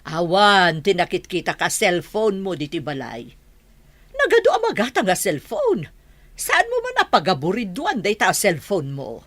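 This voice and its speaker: A woman's voice: mid-range voice, huge pitch swings, normal speed, fairly bright tone, dry recording. A woman with a Filipino accent playing a defensive, grasping mother in a radio drama. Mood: indignant.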